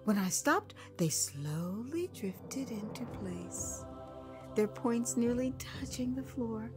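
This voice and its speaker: An American woman narrating her own memoir as an audio drama, with theatrical, expressive delivery that savours the words. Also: on the high side, slow pace, music in the background. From United States.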